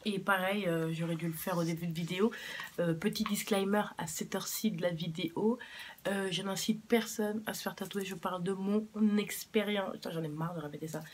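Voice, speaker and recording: A woman's voice: on the low side, fairly even intonation, a little fast, husky. A young woman talking casually to camera in a vlog. She speaks frankly, with plenty of fillers and the odd swear word. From Cuba. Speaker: vlogger.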